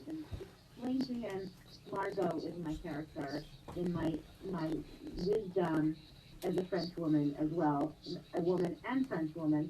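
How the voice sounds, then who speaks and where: Chatty actress on a distant mic. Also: female voice, on the low side. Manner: animated, chatty, laughs often. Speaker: guest actress. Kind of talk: live-stream interview chat. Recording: distant mic with faint noise.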